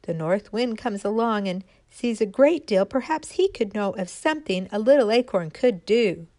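A woman's voice: medium pitch, expressive, moderate pace, mellow, no room sound, close to an everyday voice. A woman voicing a small, timid and hopeful little acorn girl in a storybook tale, with an eager, pleading manner.

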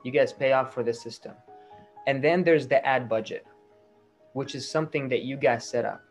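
A man giving a reassuring, persuasive sales pitch as a marketing agency salesman on a recorded call. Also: normal speed. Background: music.

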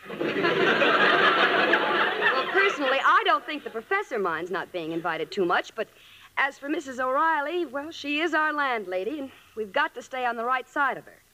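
An actress playing a sensible, often exasperated young woman, brisk and wry, in old-time radio comedy. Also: sits high, big pitch swings, background noise. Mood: wry, practical.

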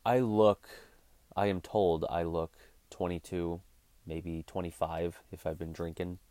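A man's voice: deep voice, little pitch movement, unhurried, warm tone, no echo. A man in his thirties hosting his own show, talking casually in a blunt, swearing rant. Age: 30s. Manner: blunt ranting, swears freely. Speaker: podcast host. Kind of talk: casual monologue.

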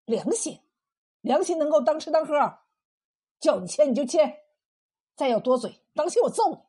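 Woman acting out bullying village husband, storybook villain, mocking, sly, swooping delivery, rough edge. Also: a little high, lively intonation, normal speed. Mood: angry, sneering. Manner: mocking, forceful.

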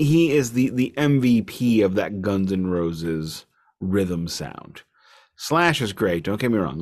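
Opinionated, rambling man chatting casually. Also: lowish voice. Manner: opinionated, rambling with stammered repeats. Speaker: podcast co-host. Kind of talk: casual music chat.

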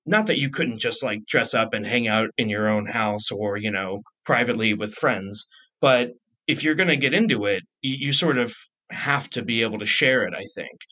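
Bright-voiced man in his thirties, halting talker. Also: medium pitch, rough recording. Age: 30s. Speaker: podcast host. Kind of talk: casual conversational interview.

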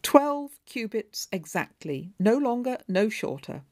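A woman's voice: fairly high pitch, very animated, slow, no echo, clean studio sound, pitched above the performer's own voice. A woman voicing a bored, vain king who gives orders imperiously. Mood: demanding. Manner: imperious, dismissive.

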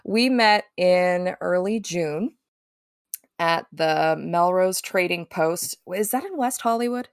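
A woman's voice: lowish voice, takes it slowly, smooth, no room sound, studio quality. A woman from the Midwest hosting a podcast, chatty and teasing, laughing often. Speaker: podcast host. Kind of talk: casual chat.

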